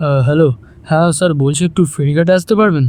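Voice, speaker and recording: A man's voice: fairly high pitch, fairly even intonation, racing, darkish tone, lightly acted. A man playing an officious, easily flustered police constable in an audio drama. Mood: anxious, pleading. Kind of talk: Bengali audio drama acting.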